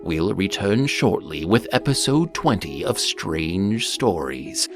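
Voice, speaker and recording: Eerie tale host: very low, unhurried, theatrical and arch, over loud music. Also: male voice, big pitch swings, full character voice. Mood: arch, dramatic. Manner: dramatic, deliberate, arch. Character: male storyteller host of eerie anthology tales. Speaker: narrator and series host. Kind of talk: theatrical scripted anthology narration.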